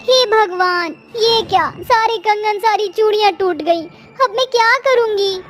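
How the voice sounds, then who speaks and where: A woman puts on a kind, emotional young bangle seller from a storybook. The voice is extremely high and expressive, with faint music behind. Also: measured pace. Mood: distressed.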